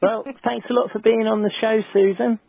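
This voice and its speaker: A man's voice: high-pitched, level tone, fairly quick, vocal fry, breathy edge, no room sound. A man from England hosting a radio show, friendly and brief, laughing often while interviewing a guest. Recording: tinny dry phone line.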